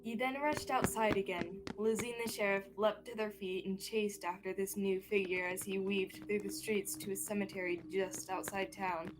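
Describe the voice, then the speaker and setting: A woman's voice: medium pitch, steady tone, normal speed, few pauses, over music, low-quality recording. A young woman reading scripted narration for a radio-style mystery play. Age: young adult.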